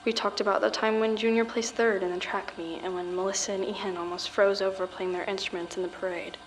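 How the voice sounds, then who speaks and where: Actress as guarded teenage murder suspect, mid-pitched, subdued and halting, audio drama, light background noise. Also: female voice, flat delivery, close to an everyday voice, low-quality recording. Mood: subdued, reminiscing. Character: guarded teenage girl accused of murder, recounting events.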